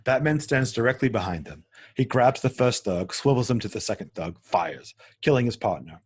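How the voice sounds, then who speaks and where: A man's voice: mid-range voice, animated, moderate pace, slightly rough. A man narrating a screenplay at a table read, reading out scene headings and action as written. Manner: stumbles and corrects himself while reading. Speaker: narrator reading stage directions.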